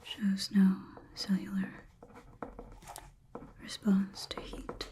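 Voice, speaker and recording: Slow, whispering woman in clinical ASMR. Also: medium pitch, completely flat delivery, dark tone. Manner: measured, detached, precise scientific delivery. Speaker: ASMR performer. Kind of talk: ASMR roleplay, soft whispered clinical observation.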